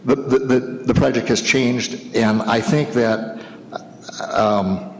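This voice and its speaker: A man giving a halting public comment at a hearing, restarting his phrases. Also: mid-range voice, fairly even intonation, slight background noise. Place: city council chamber. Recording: echoey council chamber with long reverb.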